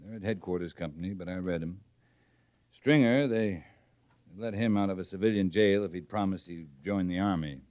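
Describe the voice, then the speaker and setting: An actor playing a stern, unflappable cavalry captain in an old radio western, terse and authoritative. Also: male voice, sits low, moderate pace, dry recording, natural voice. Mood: matter-of-fact. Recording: dull vintage radio recording.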